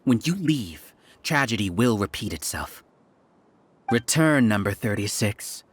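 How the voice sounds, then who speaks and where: An actor playing an arrogant, menacing hive-mind overlord in an anime-style video game, lecturing in a pompous, deliberate manner. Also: male voice, fairly low pitch, big pitch swings, slightly rough, heavily acted. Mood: cold, scornful. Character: arrogant scholar villain, overmind of a hive collective, menacing. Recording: faint music behind the voice.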